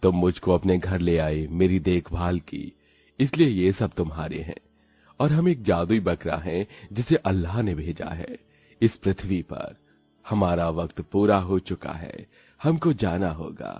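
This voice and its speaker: A man's voice: low voice, expressive, a little slow, warm tone, husky, dry recording, faint music behind, exaggerated voice. An actor voicing a kind, solemn magical two-headed male goat in a cartoon story. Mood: gentle solemn.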